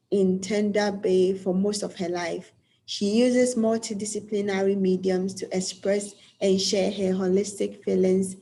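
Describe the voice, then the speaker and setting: A woman's voice: medium pitch, mellow, low-quality recording. A woman moderating an online panel, formally reading out a speaker's introduction. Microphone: video call.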